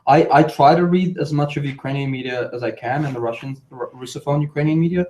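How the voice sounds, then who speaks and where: Halting man from New York, informal panel speaker. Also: on the high side, darkish tone. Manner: halting, repeats words, digressive.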